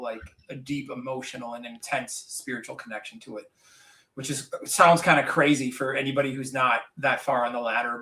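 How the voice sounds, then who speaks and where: A man's voice: medium pitch, pushed and bright. A man in his forties who owns businesses, talking earnestly in a candid podcast conversation, rambling with frequent restarts. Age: 40s. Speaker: business owner. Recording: roomy echo, distant from mic.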